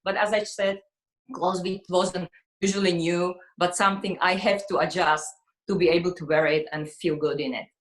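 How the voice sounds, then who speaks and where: A woman's voice: on the low side, fairly even intonation, normal speed. A woman in her forties from Slovakia with a Slovak accent, speaking conversationally as an interview guest. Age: 40s. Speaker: interview guest, fashion model.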